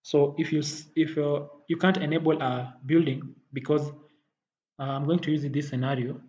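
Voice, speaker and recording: A man giving a software tutorial as a technical instructor, halting and often rephrasing as he explains. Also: a little high, fairly even intonation. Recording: dull muffled audio, faint background noise.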